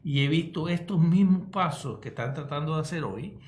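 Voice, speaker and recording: A man's voice: fairly high pitch, moderate pace, a little mellow, roomy sound. Older Cuban man, emphatic radio talk. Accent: Cuban Spanish. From Cuba. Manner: emphatic, checks in with "¿ok?". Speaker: radio co-host, pastor and psychologist.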